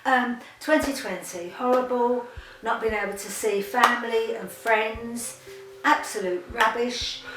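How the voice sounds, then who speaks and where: A woman's voice: on the high side, steady tone, measured pace. A woman in her seventies giving blunt, outspoken interview answers. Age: 70s. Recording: echoey room, faint noise.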